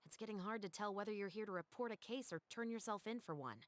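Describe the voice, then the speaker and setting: Woman doing a patrol captain, dry, wry, measured, mid pitch, faint game music. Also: lightly acted. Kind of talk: game voice acting.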